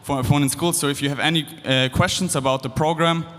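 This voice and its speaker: A man's voice: fairly high pitch, steady tone, quick pace, fairly bright tone. A young man in his twenties from Germany, with a German accent, giving a casual, upbeat stage talk to students with loose fillers and restarts. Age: 20s. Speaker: guest speaker. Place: large hall. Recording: long hall reverb.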